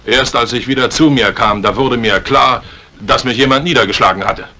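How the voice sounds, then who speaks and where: Polite defensive butler in a 50s radio crime play, medium pitch, forceful edge. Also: male voice, normal speed. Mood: earnest, defensive. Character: deferential male butler, earnest suspect protesting innocence. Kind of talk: 1950s German radio crime drama acting. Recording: old radio play recording, faint hiss.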